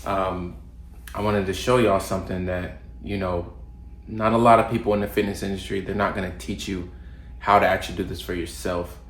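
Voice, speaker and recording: A man's voice: low-pitched, slight background noise. A young man, a little congested from a cold, giving casual fitness advice to camera with plenty of ums and restarts. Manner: relaxed, loose with fillers and restarts. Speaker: fitness vlogger. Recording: roomy home recording, faint ambience.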